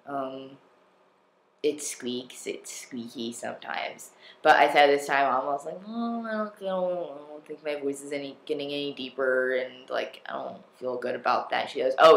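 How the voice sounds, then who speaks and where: A young man speaking slowly and haltingly into a built-in camera mic, his voice ringing in a small echoey room. He rambles in a casual, self-deprecating way, full of fillers and restarts. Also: mid-range voice, creaky, a bit bright. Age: young adult. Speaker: vlogger. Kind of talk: casual personal vlog.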